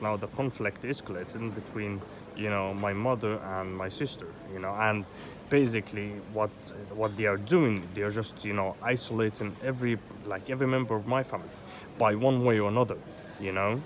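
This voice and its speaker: A man in his thirties from Egypt, ranting in an agitated, rambling way with lots of swearing and filler words. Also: fairly low pitch. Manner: agitated, rambling, swears often, heavy "you know" fillers. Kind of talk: rambling personal vlog monologue. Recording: loud outdoor street traffic noise.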